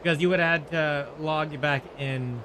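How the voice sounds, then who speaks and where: A man's voice: fairly high pitch, steady tone, moderate pace, noisy background. A man giving casual, offhand live commentary as he plays a video game, muttering short reactions. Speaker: gaming streamer.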